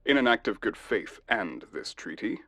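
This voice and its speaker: A man playing a ruthless human military officer in a science fiction audio drama, sardonic, deliberate and coldly courteous. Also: fairly low pitch, big pitch swings, sharp tone, full character voice.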